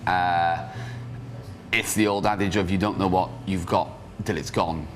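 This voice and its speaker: Deep-voiced festival organiser. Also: male voice, fairly even intonation, unhurried, slight background noise. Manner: matter-of-fact, listing details. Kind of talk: interview answer.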